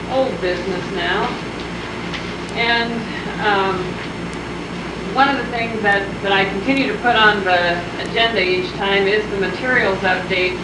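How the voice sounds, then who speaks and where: Woman chairing a meeting in an echoey room. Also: mid-range voice. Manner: procedural, polite. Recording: echoey room, distant mic, loud hum.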